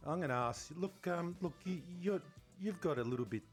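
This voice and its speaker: A man, co-hosting a podcast and asking questions in a casual, halting way. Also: on the high side, expressive, soft background music. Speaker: podcast co-host. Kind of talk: casual chat, interviewing.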